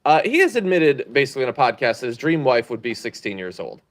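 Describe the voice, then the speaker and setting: A man's voice: mid-range voice, sing-song, fast. A man hosting a political commentary show, giving blunt, sarcastic opinions on the news.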